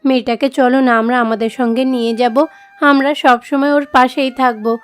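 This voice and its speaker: Woman voicing a high-pitched, coaxing village mother. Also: steady tone, normal speed, natural voice. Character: dutiful, gently persuasive village mother, middle-aged wife. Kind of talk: animated moral story dialogue.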